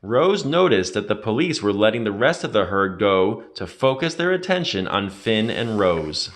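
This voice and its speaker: A man reading a children's adventure story aloud in an expressive, dramatic storytelling manner. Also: on the low side, moderate pace. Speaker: read-aloud narrator.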